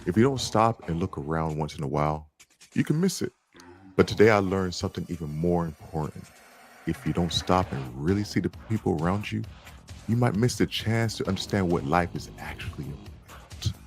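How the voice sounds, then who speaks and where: A man's voice: low voice, animated, normal speed, faint music behind. A man reading a thoughtful, philosophical young character in a live script reading. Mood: reflective.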